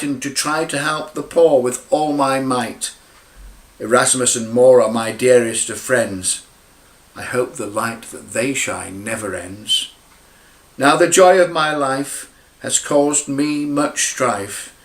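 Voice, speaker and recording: A man reciting a dramatic monologue in rhyming verse, with solemn, declamatory, measured phrasing. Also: medium pitch. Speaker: poet reading own poem. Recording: roomy, echoing amateur recording.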